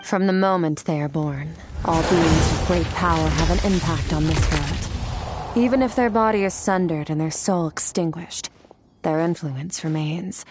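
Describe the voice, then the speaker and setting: A woman playing an aloof, powerful warrior in a video game, cool, deadpan and dismissive. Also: fairly low pitch, lightly acted. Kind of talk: restrained video game dialogue. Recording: music behind the voice.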